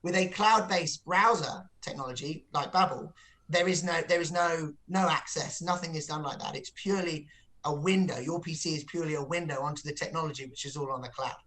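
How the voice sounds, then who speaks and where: A man's voice: on the high side, fairly quick, a bit bright, poor audio. A man giving a business interview as a company executive, explaining things with frequent repeated words.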